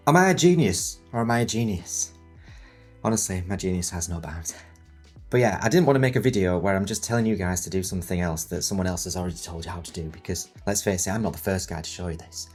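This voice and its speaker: A man in his thirties hosting a video tutorial, croaky from a cold, talking in a rambling, joking, self-mocking way. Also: low-pitched, animated, brisk, darkish tone, faint music behind. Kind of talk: casual chatty tutorial. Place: home studio set in a new house.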